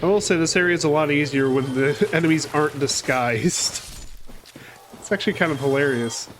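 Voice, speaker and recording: A man with a cold talks casually over his own gameplay, chatty and a little rough-mouthed. His voice sits a bit high for a man. A faint hiss of noise runs underneath. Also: fairly quick, fairly bright tone. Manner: chatty, swears casually, talks to enemies on screen. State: fighting a cold. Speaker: gaming channel host. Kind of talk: casual let's-play commentary.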